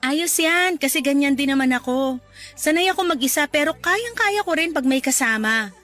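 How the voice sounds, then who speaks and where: A woman's voice: sits high, lively intonation, moderate pace, fairly bright tone. A woman with a Filipino accent playing a playful, encouraging young volunteer in a conversational radio drama. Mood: friendly, encouraging. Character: friendly, encouraging, outgoing young woman volunteer, a self-described introvert. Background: background music.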